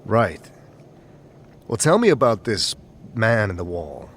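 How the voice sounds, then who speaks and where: Man playing a low-voiced, questioning adventurer. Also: big pitch swings, slow pace, slightly rough, full character voice. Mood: curious, careful. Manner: hesitant, questioning. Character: adventuring leader, curious, patient, slightly wary man. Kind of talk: audio drama acting.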